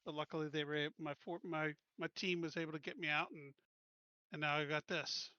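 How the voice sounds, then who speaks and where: A man's voice: a little high, steady tone, fairly quick, bright, forceful tone, no room sound, dull audio. A man playing a character at a tabletop game, talking casually and a little hesitantly. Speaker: player.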